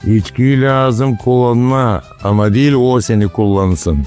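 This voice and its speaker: A man's voice: medium pitch, measured pace, darkish tone, lightly acted. A man voicing a wise, folksy village grandfather in a cartoon, explaining old ways. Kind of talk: cartoon character dialogue. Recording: music behind the dialogue.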